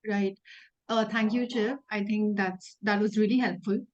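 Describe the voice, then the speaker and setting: Woman, twenties, student interviewer, mid-pitched voice, polite, slightly hesitant, video call. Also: steady tone, normal speed, dull audio.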